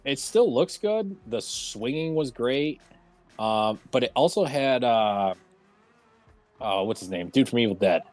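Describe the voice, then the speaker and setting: A man chatting casually as a panelist in a group discussion, often pausing as he searches for what to say. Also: mid-range voice, animated, darkish tone. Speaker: round table panelist. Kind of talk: casual group discussion about games and films. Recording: roomy echo, music underneath.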